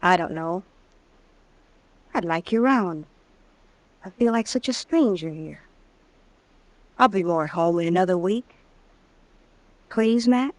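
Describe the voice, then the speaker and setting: A wary tough gal from the old west, clipped n defensive, old radio western, no room echo. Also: female voice, on the low side, lively intonation, unhurried, long pauses. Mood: pleading. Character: guarded, tough, smart Old West drifter woman. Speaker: radio drama actress. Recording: vintage radio drama recording.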